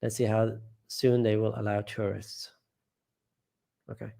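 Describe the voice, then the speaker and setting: A man's voice: on the low side, little pitch movement, measured pace, creaky, dark tone. A man hosting a casual live stream from home, reading viewer comments aloud and rambling, often trailing off and restarting.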